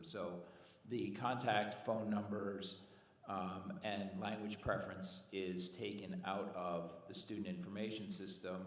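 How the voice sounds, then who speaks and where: A measured male school administrator explains how the district's system works, with a slightly low voice. He sits back from the mic, so the sound is distant and carries a long reverb in a large, echoey meeting hall. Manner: explanatory, measured, filler uhs. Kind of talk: answering at a board meeting.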